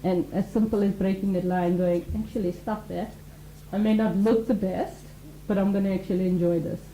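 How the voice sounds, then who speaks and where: Mellow, earnest woman teaching mindfulness on radio. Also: mid-range voice, steady tone, brisk, roomy sound, highs cut off, a little hiss or hum. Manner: earnest, explains with examples and acted-out quotes. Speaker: mindfulness teacher, show regular. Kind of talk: conversational radio talk.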